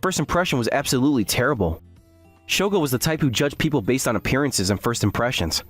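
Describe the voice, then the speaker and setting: The hapless, aggrieved student hero narrating an animated campus tale, acted by a man, voice rising and falling over quiet music. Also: medium pitch, fast talker, breathy edge. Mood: regretful. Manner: aggrieved, self-deprecating. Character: earnest, hapless male graduate student telling his story. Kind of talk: first-person scripted narration with acted dialogue.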